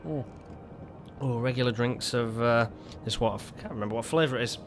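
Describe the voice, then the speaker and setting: A man talks through a game in a loose, rambling way, tripping over his words and starting sentences again. A lingering cough roughens his voice. His pitch sits in an ordinary middle range, and soft music plays underneath. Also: a little fast. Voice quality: rambling, stumbling restarts. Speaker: gaming video host. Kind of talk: casual gameplay commentary.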